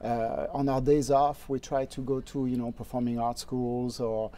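A man's voice: medium pitch, moderate pace. A man from France with a French accent, chatting easily as a guest in a TV studio interview. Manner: relaxed, easygoing, laughs often. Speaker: guest musician. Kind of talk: casual interview chat. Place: TV studio set.

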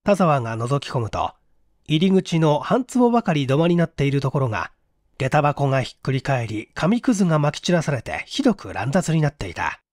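A man's voice: fairly high pitch, sing-song, brisk, darkish tone, dry recording, studio quality. A man narrating a detective story as a dramatic literary reading, acting out the dialogue. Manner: theatrical, acts out the dialogue. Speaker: audiobook narrator.

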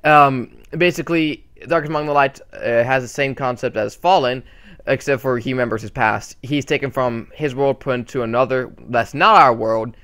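A man with a bright, forceful voice talks casually through a channel update on a desktop mic. He rambles, with many fillers and restarts. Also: mid-range voice, normal speed, smooth. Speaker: channel host.

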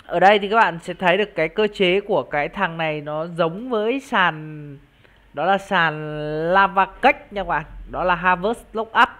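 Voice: mid-range voice, a bit bright. A video host giving an informal screen-share walkthrough, explaining things directly to viewers. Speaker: YouTube crypto project reviewer and channel host.

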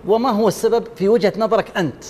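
Fast-talking man judging on TV. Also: high voice, a little mellow, in a large hall, slight background noise. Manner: enthusiastic, lists points and praises. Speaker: judge on a talent show panel. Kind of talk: evaluating a contestant on live TV. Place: TV studio set. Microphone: lapel mic.

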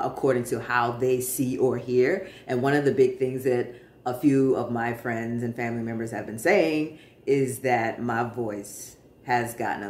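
Slow, chatty man in an echoey room. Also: mid-range voice. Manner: relaxed and chatty, many pauses and fillers. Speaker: vlogger. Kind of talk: casual personal update to camera. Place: living room in front of a sofa, indoors. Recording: roomy, echoey home room.

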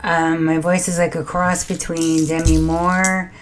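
A middle-aged woman hosting a reaction video, chatting to camera in a low, quiet voice from a small home room. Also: level tone, unhurried, slight background noise. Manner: soft-spoken, laughs between thoughts. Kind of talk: casual chat to camera.

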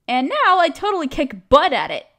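A woman playing a cute, chatty young barista in an audio roleplay, expressive and girlish, playfully teasing a coworker friend. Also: high-pitched, normal speed, full character voice. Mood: fond, teasing. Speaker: voice actress. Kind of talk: scripted one-sided audio roleplay, casual chat.

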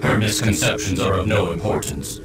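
A low-voiced, many-souled specter, solemn and formal. His voice sounds distant in an echoey space. Loud game music plays under him. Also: normal speed. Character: male spectral warrior of many souls, cold. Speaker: game character. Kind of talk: video game cutscene acting.

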